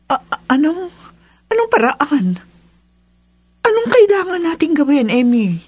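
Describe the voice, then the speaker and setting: A woman's voice: high voice, sing-song, a little slow, a little mellow. A woman playing an anxious mother in a radio horror drama, imploring and worried about her son.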